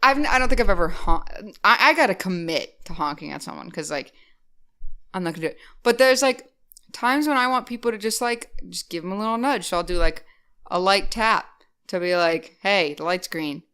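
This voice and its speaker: A woman co-hosting a casual podcast, chatting animatedly with lots of "like" fillers and sudden loud bursts. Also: mid-range voice, expressive, brisk, clear, resonant tone. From Rhode Island. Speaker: podcast co-host. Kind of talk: casual chat.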